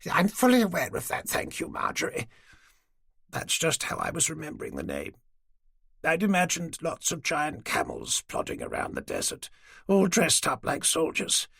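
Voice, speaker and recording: A woman's voice: low voice, wildly swooping pitch, moderate pace, vocal fry, fairly bright tone, slightly rough, no room sound, clean studio sound, voice pushed up, heavily acted. A man reading an audiobook, voicing a frail, forgetful elderly woman who was once a nurse, in a genteel, rambling manner. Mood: chatty. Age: older. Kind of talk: audiobook character voice.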